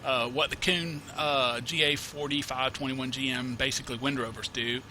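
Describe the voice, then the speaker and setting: A man's voice: medium pitch, fairly even intonation, moderate pace, sharp tone. Guy with a cold doing a chill farming game walkthrough, lots of uh's, noisy mic hiss. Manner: rambling, self-correcting, many uh fillers. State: has a cold, sniffling. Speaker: gameplay tutorial host. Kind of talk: casual let's-play commentary.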